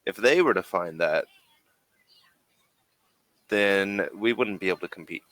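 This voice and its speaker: A man playing a secretive, persuasive corporate agent in a tabletop game, speaking in a deliberate, halting, emphatic way. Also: low-pitched, a bit bright, no room sound. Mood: secretive, grave. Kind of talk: in-character tabletop role-play.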